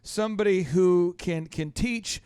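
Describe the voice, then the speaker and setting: A man's voice: high voice, a little slow, a little mellow, clean tone, dry recording. A man hosting a business talk show, joking and self-deprecating as he interviews a guest, in a dry studio recording. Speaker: podcast host. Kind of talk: energetic show intro and interview.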